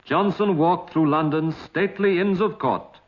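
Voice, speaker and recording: High-pitched British man narrating vintage newsreel-style film. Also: measured pace. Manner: formal, literary, dramatic. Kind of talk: scripted 1950s documentary narration. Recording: dull vintage film soundtrack with music.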